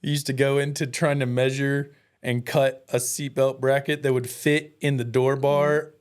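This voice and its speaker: A man's voice: mid-range voice, little pitch movement, normal speed, smooth. Earnest American man, casual and slangy. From United States. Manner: informal slangy talk, earnest and self-deprecating. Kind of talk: casual, candid personal storytelling.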